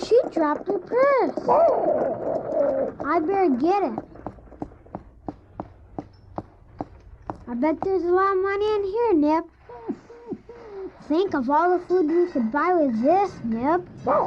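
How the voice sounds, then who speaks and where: A very high, young voice playing a polite, honest, lonesome orphan newsboy in an audio drama. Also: exaggerated voice. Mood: earnest. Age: child character. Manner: earnest, plain-spoken, polite. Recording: dull, noisy old cassette tape.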